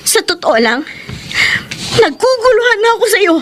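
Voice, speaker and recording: High-voiced earnest young Filipino wife. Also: female voice, sing-song, moderate pace, noisy background. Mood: exasperated. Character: Lenny, earnest young wife grieving childlessness, hurt and frank. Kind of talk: Filipino radio drama acting and letter narration. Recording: radio drama with music bed.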